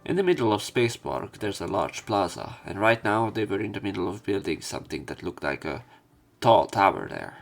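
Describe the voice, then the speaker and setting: A man doing let's play narration of a visual novel. His voice sits fairly low and he is a bit sick, reading in a halting, casual way over soft background music. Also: very animated, creaky. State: sick with a cough.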